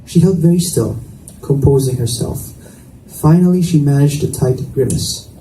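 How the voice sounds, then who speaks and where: A man's voice: fairly high pitch, moderate pace, mellow. A man reading scripted narration from a romance novel aloud in a roomy indoor space. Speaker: reader. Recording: echoey room, distant mic, faint noise.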